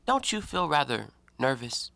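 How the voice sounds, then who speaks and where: A man playing a talkative, educated and self-righteous gentleman antiquarian in an audio drama, given to theatrical, argumentative speeches. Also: medium pitch, big pitch swings, unhurried, gravelly, no echo, exaggerated voice. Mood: restless, probing. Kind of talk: stage-play acting in an audio drama.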